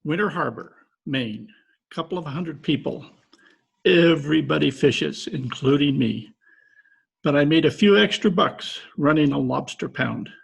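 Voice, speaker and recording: A man acts out an aggrieved small-town fisherman from Maine for a radio play. The voice is heavily gravelled and husky, pitched slightly high, with a folksy, halting delivery. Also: lively intonation, full character voice. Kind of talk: old-time radio detective drama acting. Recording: remote video-call recording.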